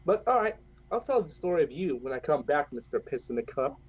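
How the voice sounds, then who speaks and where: A man's voice: fairly high pitch, very animated, fairly quick, background noise. A man telling crude stories and teasing his friends in a rowdy casual chat. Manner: crude, joking, storytelling. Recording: dull lo-fi mic.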